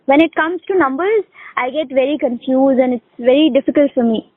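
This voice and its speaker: Woman, Indian accent, Urdu native, high voice, casual tutoring chat, dry sound with no room echo. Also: fairly quick. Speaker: English conversation tutor. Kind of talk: casual one-to-one lesson chat. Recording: dry, narrow phone-line sound.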